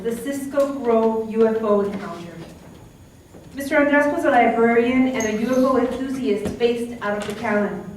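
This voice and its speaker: Woman emceeing, reading a speaker intro, highish voice, big echo. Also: darkish tone. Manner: formal, read-out introduction. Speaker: event host introducing speakers. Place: lecture hall. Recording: echoey hall, distant, faint hiss.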